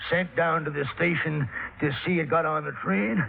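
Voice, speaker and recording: An old-time radio recording in a narrow, tinny band carries a fat, grouchy Southern businessman. His voice is creaky and rather high, and he blusters and sputters as he bullies everyone around him. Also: steady tone. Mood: fuming. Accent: American South. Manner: blustering, repeats words, sputtering and halting. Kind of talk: 1950s radio drama acting.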